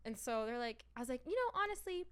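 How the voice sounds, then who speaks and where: A woman's voice: sits high, very animated, fast, smooth. A young adult woman stand-up comedian telling stories in a casual podcast chat, swearing, laughing and acting out other people's lines.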